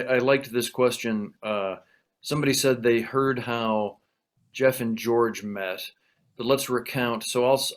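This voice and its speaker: A man hosting a conversation over a video call, talking in a rambling, self-deprecating way with many restarts. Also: medium pitch. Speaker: event host.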